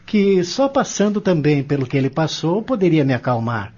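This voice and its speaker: Man acting devout young man, lightly high voice, animated intonation, Brazilian accent, earnest, audio drama, muffled recording. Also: moderate pace. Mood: heartfelt. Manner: earnest, respectful.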